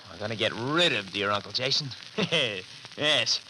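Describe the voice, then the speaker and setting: An actor playing a greedy, cold-blooded nephew with a sardonic, smooth-talking manner in a 1940s radio drama. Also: male voice, lowish voice, expressive, normal speed, lightly acted. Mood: coldly sardonic. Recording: noisy old 1940s radio recording.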